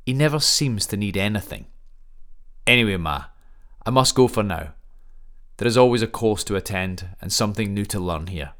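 Earnest young airman, played by a man, lowish voice. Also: lively intonation, normal speed, a bit bright. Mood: fond, earnest. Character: dutiful, fond young airman writing to his mother. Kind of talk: dramatised letter reading.

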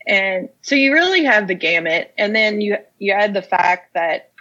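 A young woman's mid-pitched voice with a Kentucky accent, a little muffled by lo-fi recording. She talks as an interview guest, telling her own story in a plain-spoken, unpretentious, conversational way. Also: animated, normal speed, pushed and bright. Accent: American, Kentucky. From Casey County, Kentucky, United States. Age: young adult. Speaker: interview guest, whiskey industry professional.

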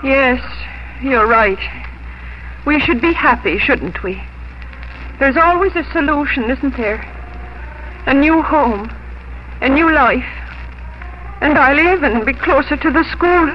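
An actress playing a gentle, dignified young schoolteacher in a 1950s American radio drama, speaking earnestly and formally. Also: female voice, high-pitched, expressive. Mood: resigned, hopeful. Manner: earnest, measured, formal phrasing. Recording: muffled, noisy old radio recording.

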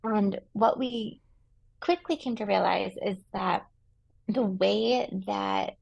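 Woman reporter, gravelly and husky, explaining in interview. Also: mid-range voice, slow, a little mellow, low-quality recording.

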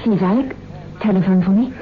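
A woman's voice: mid-range voice, a little fast, lightly acted. An actress playing a bold, probing young woman reporter, challenging and insistent, in 1960s radio suspense style. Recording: noisy, tinny old radio recording.

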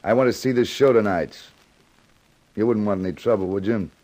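A dangerous racketeer boss, played by a man in a 1950s radio thriller. His voice is on the low side, and he makes threats casually in gangster slang. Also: fairly quick, darkish tone, natural voice. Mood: coolly threatening.

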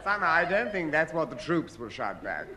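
A male actor playing a brisk, dryly officious army officer in a 1950s radio comedy. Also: on the high side, sing-song, over a noisy crowd. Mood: dry, exasperated. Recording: noisy vintage radio recording.

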